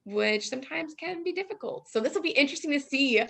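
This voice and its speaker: A young woman talks as a guest, explaining in an informal way. Her voice is slightly high, with noticeable creak at the edges. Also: lively intonation, quick pace, a bit bright. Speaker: guest speech coach and judge.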